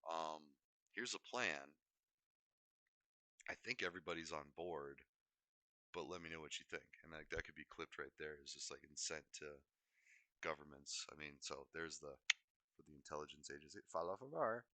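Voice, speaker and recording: Deep-voiced man with a very bright, forceful edge. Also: moderate pace, pauses often, no echo. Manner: hesitant, digressive, self-correcting mid-thought. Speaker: livestream host. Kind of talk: rambling unscripted livestream talk.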